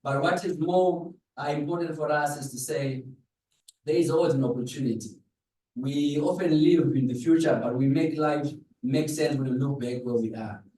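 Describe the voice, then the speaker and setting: A man's voice: fairly high pitch, normal speed, dark tone. Zimbabwean man speaking from afar, echoey room. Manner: rhetorical, poses questions to listeners. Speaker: pastor giving a presentation. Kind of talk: public address in a dialogue forum. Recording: echoey room, distant microphone.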